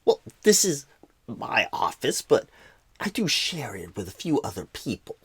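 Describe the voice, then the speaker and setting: Man putting on a halting, husky clueless job recruiter. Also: mid-range voice, huge pitch swings, no room sound, heavily acted. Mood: awkwardly chatty. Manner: halting, rambling, repeats words, odd pauses. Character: clueless, bumbling male job recruiter, flustered but eager. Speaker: ASMR role-play performer. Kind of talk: comedic ASMR interview role-play.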